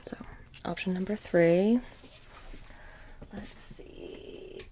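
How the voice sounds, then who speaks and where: A woman playing a petty, blasé immortal witch shopkeeper in a soft-spoken ASMR roleplay, rambling casually and slipping into whispers. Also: on the low side, fairly even intonation, very slow. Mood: casual, confiding. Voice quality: soft, breathy, creaky. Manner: casual rambling, many "like" fillers, drifting into whispers. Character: blasé, petty immortal witch running a haunted trinket shop.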